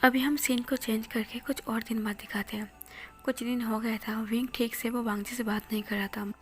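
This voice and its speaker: A woman narrating a romance story in a soft, steady whisper. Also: fairly high pitch, normal speed.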